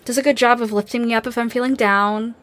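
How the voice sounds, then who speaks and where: A woman's voice: fairly high pitch, fairly even intonation, fairly bright tone, natural voice. Audio drama role, female actor: grieving young woman survivor, self-deprecating, hesitant, understated and natural. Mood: subdued, hesitant. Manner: hesitant, halting.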